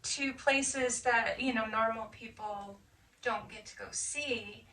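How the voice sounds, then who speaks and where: A woman's voice: fairly high pitch, slow, pushed and bright. A woman in her fifties chatting casually as she recalls her past in an interview. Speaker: veteran being interviewed. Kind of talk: casual recollection. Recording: distant mic with faint background noise.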